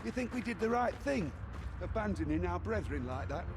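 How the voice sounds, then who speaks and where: A man's voice: sits high, big pitch swings, normal speed. An actor voicing a doubtful, anxious man in a fantasy video game, with a questioning way of speaking. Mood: uneasy. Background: game music.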